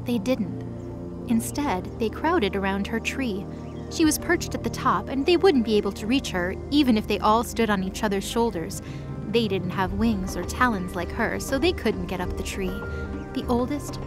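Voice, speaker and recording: A woman narrator reading a scripted fantasy story. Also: mid-range voice.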